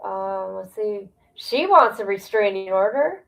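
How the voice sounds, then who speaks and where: A woman's voice: mid-range voice, lively intonation, a little slow, vocal fry, low-quality recording. A woman hosting a casual livestream, reading aloud and commenting in a blunt, incredulous, gossipy way. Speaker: livestream host reacting to a document.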